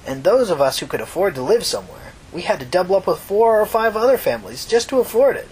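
A man's voice: high voice, very animated, fast talker, a little mellow, husky, voice pushed up, exaggerated voice, poor audio. A man voicing a tired, solemn, talkative old woman with a folksy, earnest manner in a fiction reading. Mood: reminiscing, emphatic.

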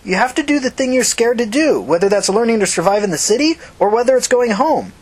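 A woman's voice: fairly high pitch, animated, fast talker, darkish tone, husky, pitched above the performer's own voice. A woman acting a blunt, streetwise young woman. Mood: urgent, blunt. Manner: urgent, earnest, plain-spoken, profane. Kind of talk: audiobook character acting.

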